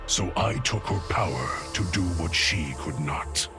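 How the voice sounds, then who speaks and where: An actor playing a weary immortal warrior who longs for rest, speaking in a brooding, tired way. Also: male voice, low voice, animated, measured pace, over music. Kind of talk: game cutscene narration.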